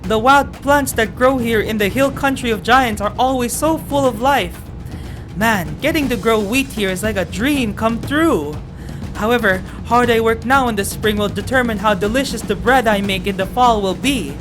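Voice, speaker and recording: A woman's voice: a little high, normal speed, lightly acted. A woman voicing an earnest, hardworking young giant farmer from a game, musing wistfully. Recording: music behind the voice.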